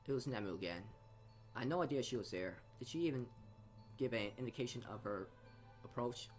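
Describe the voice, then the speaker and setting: Halting man reading a game story aloud. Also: medium pitch, animated. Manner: halting, stumbling over words and re-reading. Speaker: let's play host reading a visual novel aloud. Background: game music.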